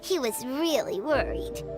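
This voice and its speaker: A woman voicing a small, bubbly, childlike fairy guide in a video game, excitable and full of exclamations. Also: high-pitched. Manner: bubbly, exclamatory, refers to self in third person. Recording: loud music behind the voice.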